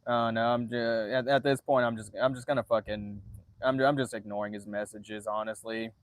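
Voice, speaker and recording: The monotone guy livestreaming from a dry-sounding spot, stumbling back over his phrases and cursing as he answers the chat. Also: on the low side, no room sound. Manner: halting, repeats and restarts phrases; frequent swearing.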